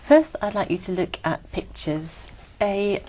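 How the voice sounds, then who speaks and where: A woman acting as examiner in a speaking exam, giving formal, measured instructions. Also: mid-range voice, expressive, a little mellow, a little hiss or hum, rough recording. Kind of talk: scripted exam prompts.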